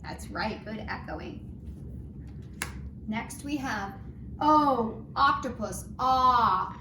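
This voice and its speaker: Gentle teacher woman, roomy distant sound. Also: a little high, lively intonation, very slow. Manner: gentle, encouraging, singsong. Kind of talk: children's phonics lesson. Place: home. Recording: roomy, distant, noisy home recording.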